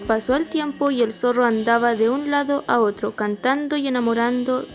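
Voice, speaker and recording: A young woman narrates a story in a high voice. It is scripted storytelling for a radio play, with light music underneath. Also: moderate pace.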